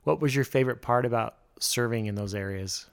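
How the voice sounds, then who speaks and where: A man's voice: medium pitch, a little fast, gravelly. Upbeat male podcast host. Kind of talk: podcast interview.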